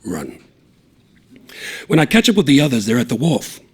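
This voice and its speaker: A man reading a short story aloud, acting out the voices of the characters, loud on some lines and hushed on others. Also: mid-range voice, moderate pace, breathy edge. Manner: lively, acts out the dialogue, shouting some lines and dropping to a hush on others.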